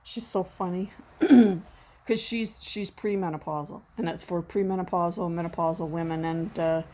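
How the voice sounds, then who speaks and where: A woman in her sixties chatting casually from behind a camera at home, rambling and self-deprecating, her voice going hoarse. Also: lowish voice, steady tone, moderate pace, mellow. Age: 60s. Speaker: vlogger. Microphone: camera mic, speaking from behind the camera.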